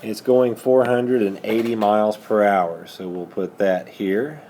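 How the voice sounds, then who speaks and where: A man's voice: fairly low pitch, measured pace, mellow, a little hiss or hum. A man working methodically through a math lesson as a teacher, patiently prompting students with questions. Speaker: high school algebra teacher.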